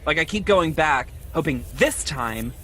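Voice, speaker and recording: A man plays a lonely, self-blaming man in his mid-twenties in an audio drama. His somewhat high voice is halting and confessional, often repeating itself, with clear background noise behind it. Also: expressive, sharp tone. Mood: sad, ashamed. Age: 20s. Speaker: podcast host acting in own audio drama.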